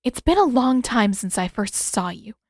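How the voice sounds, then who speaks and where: A woman voicing an excitable, awestruck woman healer in an audio story, unsubtle and emphatic. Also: a little high, normal speed, no room sound, clean studio sound. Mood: awed.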